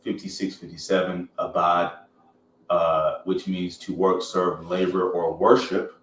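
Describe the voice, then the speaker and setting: A man with a deep American voice hosts a podcast in loose, informal language, sometimes reading out reference text. The room echoes, and he sits back from the mic with faint noise around him. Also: flat delivery, measured pace. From Georgia, United States. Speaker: podcast host. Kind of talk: casual podcast talk with some reading aloud from a reference. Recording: roomy echo, distant mic, faint noise.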